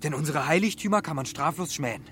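A man's voice: fairly high pitch, lively intonation, fast talker, a bit bright, soft background music. An actor playing an indignant, devout townsman protesting in a declamatory, impassioned way in a radio play. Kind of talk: German radio play acting.

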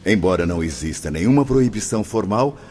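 An actor playing a grave, formal official explaining rules in a radio drama. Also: male voice, deep voice, expressive, fairly quick, a little mellow. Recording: long hall reverb, faint noise.

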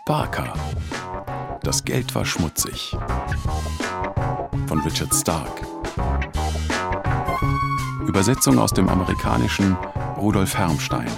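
A man announces a radio play in a deep, gravelly voice. The delivery is scripted and very slow, over loud plucked guitar. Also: sing-song. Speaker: title and credits announcer.

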